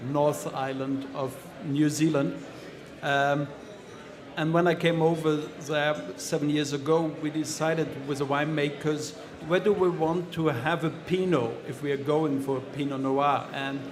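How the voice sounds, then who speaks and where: A man with a German accent, speaking on a wine panel, earnest and plain in his explanations. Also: on the high side. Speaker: winemaker panelist. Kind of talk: panel discussion.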